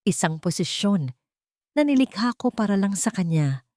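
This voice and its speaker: Coolly vengeful tycoon played by a woman, Filipino accent, deliberate and composed, mellow dry sound, story drama. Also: medium pitch, very animated, no echo, close to an everyday voice. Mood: calm authority. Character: calm, commanding male billionaire, coolly vengeful.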